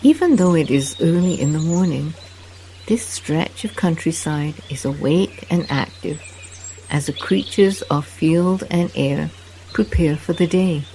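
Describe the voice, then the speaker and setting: A meditation guide narrating a guided relaxation walk in a soft, soothing, unhurried way with long pauses. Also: medium pitch, slight background noise, poor audio.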